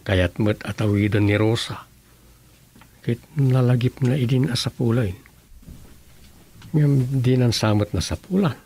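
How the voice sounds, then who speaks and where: A man's voice: mid-range voice, a little slow, long pauses, warm tone, slightly rough. A man acting as a practical, scheming townsman in an Ilocano radio comedy drama, with an Ilocano accent. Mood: conversational, grumbling.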